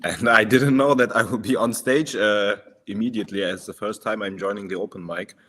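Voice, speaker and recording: A man from Turkey raised in Germany, a first-time caller who is polite and hesitant, with many pauses and ums. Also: lowish voice, fairly even intonation, a bit bright. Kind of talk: casual online discussion.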